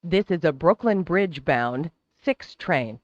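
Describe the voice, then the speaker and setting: A subway announcer reads scripted service announcements slowly and formally. The pitch moves in lively contours while each word is carefully enunciated. The recording is dry and a little dull. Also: no room sound. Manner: formal, measured, clearly enunciated. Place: recorded train public-address announcement.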